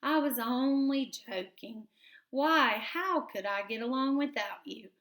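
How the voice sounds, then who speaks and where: A woman's voice: on the high side, lively intonation, slow pace, a bit bright, roomy sound, voice pushed up, full character voice. A woman voicing a hearty, teasing blind male mole.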